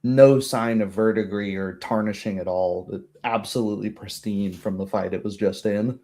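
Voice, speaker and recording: A man with a lowish voice runs a tabletop roleplaying game. He improvises descriptive narration, building each scene piece by piece in halting phrases. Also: steady tone, dark tone. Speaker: game master.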